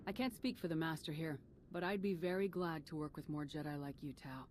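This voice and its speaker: A woman's voice: low-pitched, brisk, a little mellow, slight background noise, lightly acted. A woman playing a composed, capable military commander in a video game, cool and assured. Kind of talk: cinematic video game acting.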